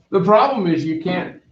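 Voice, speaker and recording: A middle-aged man from Canada, a math teacher chatting casually and rambling to his class in a classroom, recorded by a camera across the room. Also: high voice. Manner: rambling, self-deprecating, chatty. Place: classroom with whiteboard. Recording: roomy, dull classroom recording.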